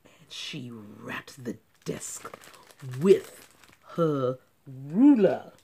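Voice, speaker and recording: A woman reading a storybook aloud for children, dramatic and deliberate, with hushed drops and sudden emphasis. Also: very deep voice, very animated, vocal fry, noisy background.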